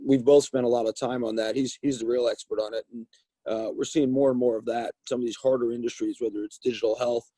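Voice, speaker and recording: A middle-aged man with a dark, mellow tone and a slightly husky edge, speaking as a venture investor over a video call. He talks thoughtfully and often repeats a word before he finds his point. The sound is close and dry. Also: mid-range voice, no echo. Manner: thoughtful, repeats words while thinking. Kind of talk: panel discussion on a video call.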